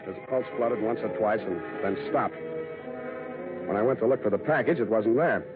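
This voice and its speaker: An actor playing a wry, cynical private detective with a clipped, deadpan delivery in a 1940s radio drama. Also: male voice, on the low side, normal speed, close to an everyday voice. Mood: grim, deadpan. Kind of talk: 1940s radio acting, first-person noir narration. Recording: tinny vintage radio with music behind.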